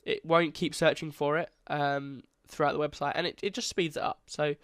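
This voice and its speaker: A young man with a stuffy, congested voice walks viewers through a beginner coding lesson in a loose, chatty way, often restarting his phrases. His voice sits a little high for a man, and the recording is dry and close with no room sound. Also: level tone, normal speed, fairly bright tone, no room sound. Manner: casual, chatty, self-correcting. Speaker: coding tutor. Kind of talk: screen-recorded coding tutorial.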